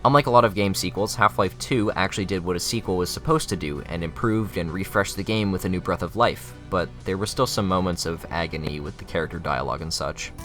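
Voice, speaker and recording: A man's voice: sits low, quick pace, hardly any pauses, music in the background. A young adult man reading scripted narration for a video essay.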